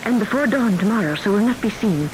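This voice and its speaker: A woman's voice: mid-range voice, expressive, quick pace, natural voice. An actress playing a lovestruck young woman in a radio drama, tender and conspiratorial. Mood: tender, secretive. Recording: hissy old radio drama broadcast.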